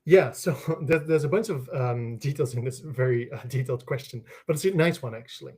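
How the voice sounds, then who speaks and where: A man's voice: medium pitch, a little fast, darkish tone, breathy edge. A man from the Netherlands, a native Dutch speaker, explains as a guest scholar in an academic conversation, restarting phrases and checking in with "right?".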